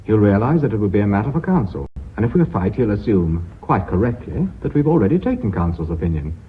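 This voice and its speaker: Radio play lead: brisk, commanding company boss, animated delivery, old lo-fi broadcast sound. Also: male voice, lowish voice. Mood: scheming, brisk. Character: brisk, decisive businessman running an embattled family firm. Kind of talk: radio drama acting. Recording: muffled lo-fi radio recording, faint hiss.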